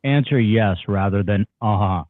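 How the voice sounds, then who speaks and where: A man acts as a businesslike male attorney at a deposition. He sounds thin and phone-like, with a dry, close sound. His pitch is slightly low and his manner formal. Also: normal speed, no echo, lightly acted. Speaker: reader playing an attorney. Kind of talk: dramatic reading of a deposition. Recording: dull, phone-like, band-limited line.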